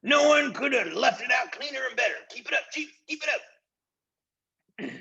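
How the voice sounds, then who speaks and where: A man voicing excitable, fawning invisible followers who eagerly agree and repeat themselves, in a storybook reading. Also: extremely high pitch, unhurried, vocal fry, pitched above the performer's own voice, exaggerated voice. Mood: roaring enthusiasm.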